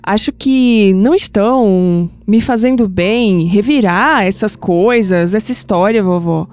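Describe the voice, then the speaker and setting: An actress playing an anguished young Brazilian granddaughter in an audio drama, emotional and hesitant, with sighs. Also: female voice, medium pitch, a little slow, a little mellow, clear, resonant tone, natural voice. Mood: troubled, resolute. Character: anguished, skeptical young granddaughter unsettled by past-life visions. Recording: music bed under the voice.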